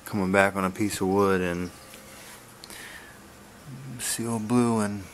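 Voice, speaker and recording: A man giving a casual, laid-back vlog update in a halting, plain-spoken way, recorded on a handheld camera in a garage. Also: lowish voice, steady tone, a little hiss or hum, rough recording. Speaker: wood-splitting video blogger. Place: garage, open to outdoors. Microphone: handheld camera's built-in mic.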